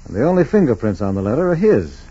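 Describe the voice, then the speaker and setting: A steady federal investigator from a 1940s radio drama, husky and businesslike. His pitch moves freely as he lays out facts with clipped deliberation. The old recording is dull and muffled. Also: fast talker. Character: steady, methodical male FBI special agent investigating a case.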